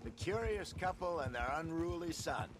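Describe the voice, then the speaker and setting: An actor playing a wry, cautious older outlaw with a wheezy voice in a western game. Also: male voice, a little high, animated, moderate pace, music in the background, lightly acted. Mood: wry, nostalgic. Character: wry, cautious old male outlaw, longtime comrade. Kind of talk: dramatic western game cutscene acting.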